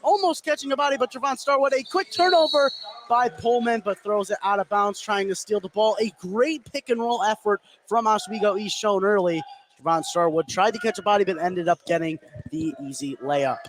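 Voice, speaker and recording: A man's voice: high voice, a little fast. A man calling live basketball play-by-play as the announcer. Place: indoor basketball gym, courtside. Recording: noisy gym ambience, bouncing basketball. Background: game noise, ball bouncing.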